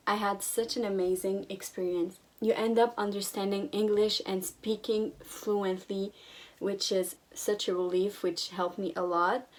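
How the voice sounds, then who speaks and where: Young woman explaining her story to the camera, mid-pitched voice. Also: rough recording. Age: young adult. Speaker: vlogger. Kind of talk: casual chat to camera.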